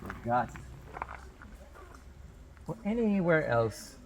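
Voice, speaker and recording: A man leading a guided meditation outdoors, speaking in measured short phrases with pauses between them. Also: fairly high pitch, big pitch swings, a little mellow, a little hiss or hum, poor audio.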